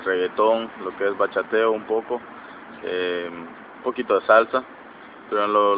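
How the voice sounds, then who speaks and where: A man in his twenties from the Atlantic coast of Nicaragua, a reggaeton artist answering interview questions outdoors with many fillers and restarts. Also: lowish voice. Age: 20s. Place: outdoors by a sports field in a city. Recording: lo-fi with street and traffic noise.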